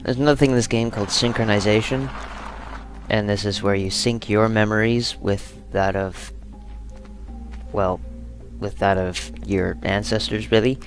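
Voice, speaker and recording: Lowish croaky guy doing casual lets play commentary, kinda self-deprecating, music in the background. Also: fairly even intonation, normal speed. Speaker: let's play host. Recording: music behind the voice. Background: video game music.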